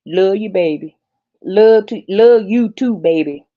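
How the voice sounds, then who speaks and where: A woman's voice: medium pitch, a little slow, no echo. A woman co-hosting a livestream, casually performing a reading of text messages, on lo-fi audio. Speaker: livestream co-host. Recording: dull, lo-fi close livestream audio.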